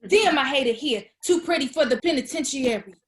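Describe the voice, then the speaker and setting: Woman acting dramatic young woman, very high voice, anxious, sarcastic, slangy, comedy script read. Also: animated, brisk. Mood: melodramatic.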